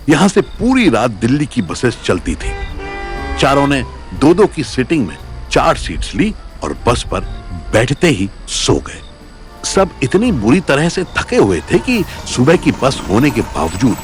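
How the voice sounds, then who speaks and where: A man narrating a scripted audio story as its storyteller. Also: medium pitch. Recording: music bed behind voice.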